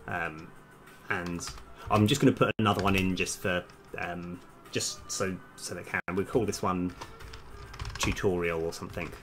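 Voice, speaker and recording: A man with a fairly low voice talks himself through coding on a livestream, casual and halting, often muttering as he goes. Music plays clearly behind him, along with keyboard typing. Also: steady tone. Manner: halting, muttering to self while working. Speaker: game developer livestream host. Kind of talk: casual live coding commentary, thinking aloud. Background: music, computer keyboard typing.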